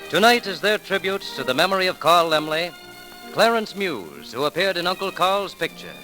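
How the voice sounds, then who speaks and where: An American male announcer speaks in dramatic 1930s radio style, theatrical and reverent. His voice is bright and forceful, swooping widely in pitch. Music plays softly around it on the old broadcast recording. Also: big pitch swings, normal speed, rough recording.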